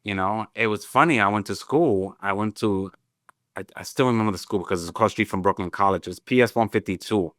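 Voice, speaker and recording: A man in his fifties from Puerto Rico, a native Spanish speaker, telling his life story as an interview guest in an unhurried, reflective way. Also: lowish voice, fairly bright tone, no echo, studio quality. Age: 50s. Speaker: tech company CEO guest.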